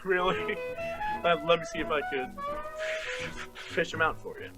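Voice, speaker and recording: An actor playing a crude, taunting, menacing costumed villain in a fan-made drama. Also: male voice, high-pitched, wildly swooping pitch, unhurried, over music, heavily acted. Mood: crude, smirking.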